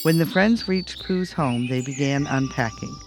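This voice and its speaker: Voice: medium pitch, measured pace, loud music behind the voice. A storyteller reading a children's bedtime story aloud as narrator.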